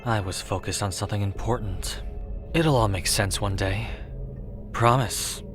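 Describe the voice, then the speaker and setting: A man playing a reserved, quietly protective death god in an audio drama, speaking in an understated, gentle way. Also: lowish voice, measured pace, music in the background, close to an everyday voice. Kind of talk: audio drama character acting.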